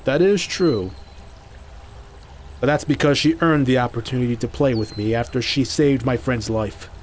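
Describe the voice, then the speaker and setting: A man's voice: medium pitch, normal speed, lightly acted. A man playing a confident, stern fighter who guards a sacred treasure in an audio drama, firm and teasing. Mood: stern, assured. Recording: faint background noise.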